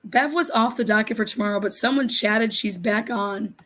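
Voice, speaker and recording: A middle-aged woman hosting a casual livestream, hoarse and raspy, reading viewer comments and ranting bluntly with lots of swearing. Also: mid-range voice, normal speed, fairly bright tone. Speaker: livestream host.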